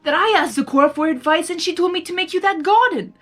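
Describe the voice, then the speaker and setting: A woman's voice: very high-pitched, quick pace, fairly bright tone, soft background music, voice pushed up. A woman voicing a male chaos creature, a teasing groom given to indignant, theatrical exclamations in a storybook reading. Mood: accusing, indignant.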